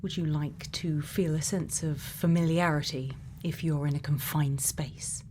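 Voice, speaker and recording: Woman acting as gentle, quirky scientist, mellow lowish voice, murmured ASMR roleplay, unhurried questioning, clear background ambience. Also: steady tone, slight background noise. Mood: calm, attentive. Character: quirky, gently probing female scientist programming a robot's memories. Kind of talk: soft-spoken ASMR roleplay.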